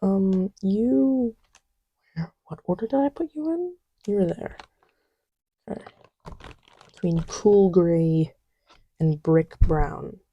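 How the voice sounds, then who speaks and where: A woman playing a playful girlfriend in an intimate ASMR roleplay, rambling casually and giggly, often dropping to soft murmurs close to the microphone. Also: medium pitch, takes it slowly, pauses often, no echo, lo-fi sound. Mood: murmuring, absorbed. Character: giggly, playful girlfriend testing markers on boyfriend's lap.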